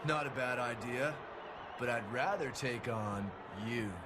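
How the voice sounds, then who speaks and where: Dude acting smug rookie wrestler in a game, medium pitch, couldn't care less, noisy audio. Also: male voice, a little slow, natural voice. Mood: cocky, dismissive. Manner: casual, smug, dismissive. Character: cocky, defiant rookie male wrestling champion. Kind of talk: video game cutscene acting.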